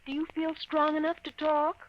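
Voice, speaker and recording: An actress playing a prim, easily offended fiancée in a 1950s radio comedy, proper and scolding. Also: female voice, sits high, monotone, moderate pace, close to an everyday voice. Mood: hesitant. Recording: dull, hissy old radio broadcast.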